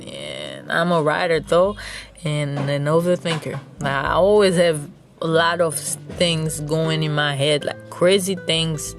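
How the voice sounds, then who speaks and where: A young woman with a Haitian accent, Creole her first language, rambles through a casual solo podcast. She talks at a slow, easy pace and giggles often, and faint music plays softly behind her voice. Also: sits low, lively intonation. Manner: chatty, rambling, with frequent giggles. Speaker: podcast host.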